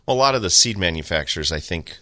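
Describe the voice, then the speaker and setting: A man's voice: low voice, lively intonation, pushed and bright, no room sound. A man hosting a garden talk show, joking and teasing his co-host in casual chat about his nursery. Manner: joking, teasing, quick asides.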